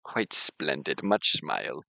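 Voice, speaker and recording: A man streaming a casual software walkthrough, explaining things in an informal, rambling way. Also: extremely low pitch, very animated, takes it slowly, creaky, no room sound. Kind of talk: casual screen-share walkthrough and chat.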